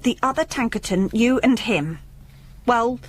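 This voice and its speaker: An actress playing a possessive, needy young princess in a radio comedy drama, insistent and petulant. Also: female voice, a little high, animated, unhurried. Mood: accusing, jealous.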